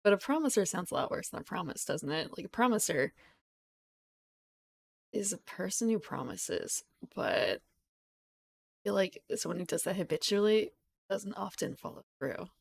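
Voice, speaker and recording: A woman streamer chatting casually with her viewers, rambling, giggling and correcting herself, her voice still croaky from just waking up. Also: on the low side, very animated, normal speed, pauses often. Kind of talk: casual live-stream chat.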